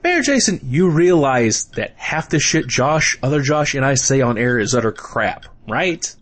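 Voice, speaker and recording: A man's voice: medium pitch, lively intonation, measured pace, fairly bright tone. A man playing an exasperated, skeptical sidekick in a comedic audio drama, with a sarcastic, incredulous delivery. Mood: sarcastic doubt. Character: skeptical, exasperated male radio newsman, reluctant sidekick.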